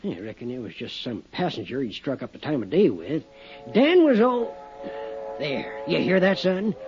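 A man's voice: fairly high pitch, sing-song, moderate pace, music in the background. An actor playing a chatty, folksy older railway mail man with a drawl in a 1950s radio drama. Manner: rambling, folksy, drawling country talk. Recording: dull vintage 1950s radio recording.

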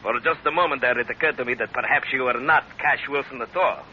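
An actor playing a suave, menacing pirate chief in an old-time radio drama, with courtly, formal phrasing. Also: male voice, mid-range voice, fast, natural voice. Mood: silky, courteous. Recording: dull, hissy old radio recording.

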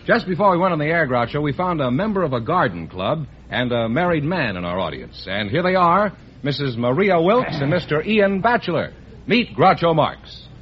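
A man's voice: a little high, very animated, moderate pace, slight background noise. A man announcing scores and introducing contestants on a radio quiz show. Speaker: announcer and co-host. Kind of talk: broadcast announcing. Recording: dull old radio broadcast.

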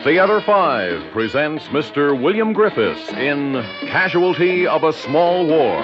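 A man's voice: a little high, sing-song, slow pace. A man announcing a radio drama's title in old broadcast style. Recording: muffled old radio broadcast under loud music.

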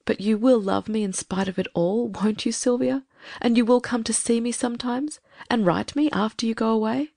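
A proud old spinster, frail after an illness, speaks gently and without hurry, read by a woman. Her gravelly voice is heard close and dry. She sounds like a character in an audiobook. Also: a little high, dry recording. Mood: wistful. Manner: gentle, reflective, unhurried.

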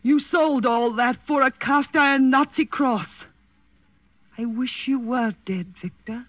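An actress playing a proud, bitter countess, defiant and controlled, in 1940s radio drama style. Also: female voice, high-pitched, measured pace, no room sound. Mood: bitter contempt. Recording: narrow-band vintage radio recording, dry.

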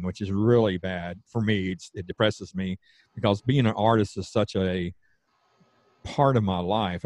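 Man, southern accent, lowish voice, slightly slurred, halting, dry recording. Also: a little mellow, no room sound. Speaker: podcast guest, artist. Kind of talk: casual podcast conversation.

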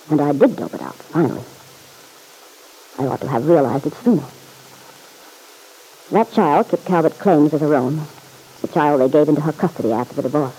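Insistent, slangy man in vintage radio drama; soft dark tone, rough grain, pressing and blunt. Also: fairly high pitch, low-quality recording. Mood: accusing. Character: stern, insistent man pressing a woman for the truth. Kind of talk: old-time radio serial acting.

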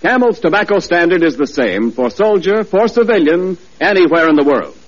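A radio announcer with a fairly high, lively voice delivering a polished, emphatic sales pitch. It comes through a dull 1940s broadcast. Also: male voice, normal speed, soft background music.

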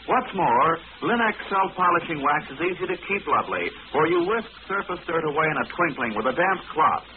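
A man's voice: fairly high pitch, expressive, a little fast, few pauses. A man reading a scripted 1940s radio advertisement as announcer, with a polished, emphatic sales delivery. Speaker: commercial announcer. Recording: narrow-band, faintly noisy old radio recording.

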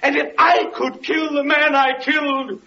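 An actor playing a bitter, lame, evil-faced wanderer with mocking, archaic declamation in an old-time radio drama. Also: male voice, extremely high pitch, flat delivery, unhurried, bright, forceful tone, full character voice. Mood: anguished raging. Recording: muffled, noisy vintage radio recording.

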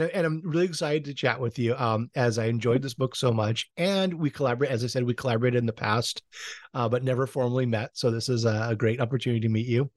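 A man hosting a podcast interview, introducing and questioning his guest with hesitant pauses between phrases. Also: mid-range voice, no echo.

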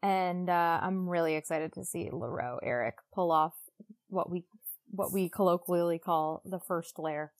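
A woman's voice: fairly low pitch, measured pace. A woman co-hosting a podcast in casual conversation, irreverent and sweary, jokingly acting out imagined quotes. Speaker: podcast co-host. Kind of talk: casual chat, book discussion podcast.

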